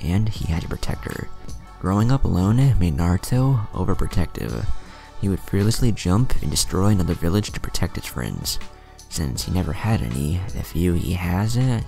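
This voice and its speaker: A man reading a fan-written ninja story aloud in a dramatic, expressive way. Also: low voice, vocal fry.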